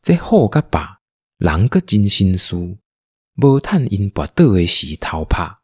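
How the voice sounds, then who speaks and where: Deep, animated Taiwanese male storyteller. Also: a little slow, breathy edge, dry recording, studio quality. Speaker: narrator. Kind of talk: scripted radio drama storytelling in Taiwanese.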